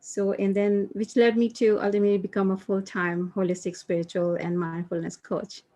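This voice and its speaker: A woman's voice: medium pitch, flat delivery, normal speed, dark tone. Chatty woman from India with fillers. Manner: rambling with many fillers and restarts. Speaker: guest author and mindfulness coach. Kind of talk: casual interview storytelling.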